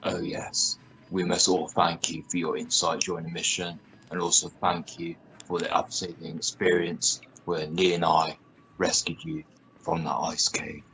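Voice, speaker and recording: An amateur actor playing a gruff, commanding and sarcastic expedition driver in a sci-fi radio play. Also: male voice, sits low, level tone, unhurried, a bit bright, a little hiss or hum, poor audio.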